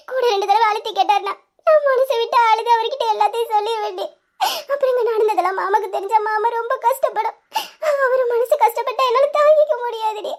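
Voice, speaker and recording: A woman voicing a lovesick young woman who sobs and pleads to her younger sister. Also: very high-pitched. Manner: sobbing, pleading, breaking into tearful laughs. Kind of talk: dramatized audio story.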